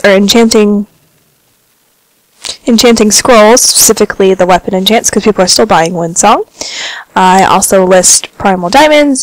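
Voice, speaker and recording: A woman talks casually over her game footage, a bit congested from a cold. Her voice sits in a mid range, and the recording is close and dry with no room sound. She rambles in a relaxed way, with plenty of ums. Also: medium pitch, no echo, rough recording. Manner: relaxed, chatty, filler-heavy. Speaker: gaming vlogger. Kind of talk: casual gameplay commentary.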